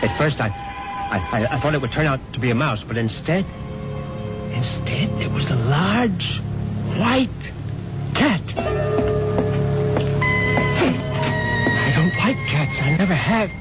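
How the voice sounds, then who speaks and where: An actor playing a nervous, superstitious widower in an old-time radio mystery, halting and repeating his words. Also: on the high side, lively intonation, close to an everyday voice. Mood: tense, subdued, then alarmed, disgusted. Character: nervous, guilt-haunted widower, superstitious and increasingly panicked. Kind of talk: old-time radio mystery acting, first-person narration. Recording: dull vintage radio recording, loud music behind.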